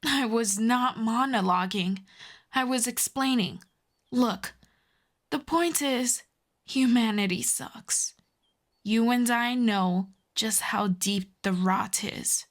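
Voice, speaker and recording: A woman playing a telepathic villain in an intimate roleplay audio drama, cool and commanding but grudgingly tender, with measured, dry, hushed delivery. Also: on the high side. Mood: bitter, disdainful.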